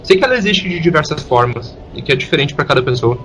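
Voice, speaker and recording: A man's voice: on the high side, animated, quick pace, fairly bright tone, soft background music, low-quality recording. A man with a Brazilian accent playing an earnest, inquisitive young orphan boy in an audio story. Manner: frank, inquisitive. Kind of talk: audio drama acting.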